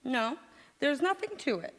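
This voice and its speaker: A woman's voice: high voice, lively intonation, measured pace, fairly bright tone. A woman playing a breezy, naive, overconfident housewife in a vintage radio comedy on stage. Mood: breezy, confident. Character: scatterbrained, cheerfully overconfident housewife in a radio sitcom. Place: large indoor hall, live stage performance. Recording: reverberant church hall stage recording.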